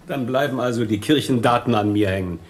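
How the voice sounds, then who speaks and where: A man's voice: medium pitch, a little fast, natural voice. An actor playing a reproachful, prim male office colleague in a radio play, sounding peevish and formal. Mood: miffed, reproachful. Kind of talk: German radio play acting.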